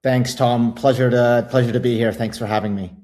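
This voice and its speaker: A man's voice: mid-range voice, fairly even intonation, a little fast, darkish tone. A middle-aged man with a Canadian accent, a finance executive speaking casually as a podcast guest, with many fillers and a self-deprecating tone. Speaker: guest CFO. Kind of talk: podcast interview.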